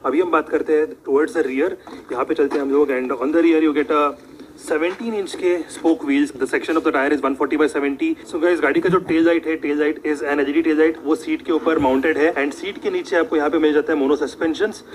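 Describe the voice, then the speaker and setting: A young man walking around a motorcycle outdoors, listing specs quickly in a casual presenter manner. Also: a little high, steady tone, slight background noise, low-quality recording. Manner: quick, tech-spec listing, mixing English. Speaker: bike reviewer in a played clip. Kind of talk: fast Hinglish bike walkaround review.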